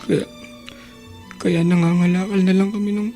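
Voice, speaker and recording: An actor playing a destitute, stubborn but remorseful teenage son in a Filipino radio drama, with a gravelly voice and a halting, plaintive delivery. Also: mid-range voice, flat delivery, dark tone, lightly acted. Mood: ashamed. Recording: background music under the voice.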